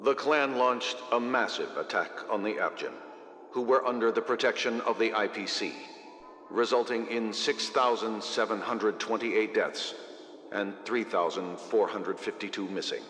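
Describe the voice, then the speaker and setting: An actor playing a grave, formal news announcer in a game. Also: male voice, on the low side, normal speed, a bit bright, roomy sound, in a large hall, a little hiss or hum, natural voice. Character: male news broadcast announcer.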